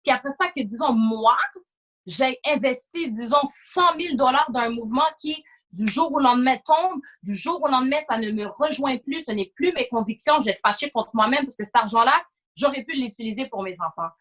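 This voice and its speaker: High-pitched Quebec woman, emphatic. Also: a little fast, fairly bright tone. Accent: Quebec French. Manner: emphatic, repeats phrases, mixes in English. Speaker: podcast panelist. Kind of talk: casual panel discussion.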